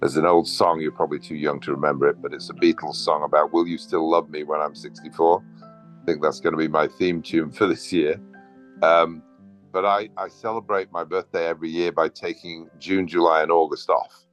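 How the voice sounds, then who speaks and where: A man in his sixties speaks over a video call in an extremely low, deep voice. He rambles earnestly as he introduces himself with spiritual phrasing. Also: steady tone, fairly quick, faint music behind. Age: 60s. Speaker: meetup participant, systems designer.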